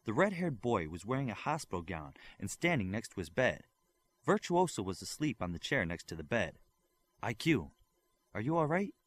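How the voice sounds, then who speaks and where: A man's voice: fairly low pitch, sing-song, brisk. A man reading a scripted story aloud as an audiobook narrator. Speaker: audiobook reader.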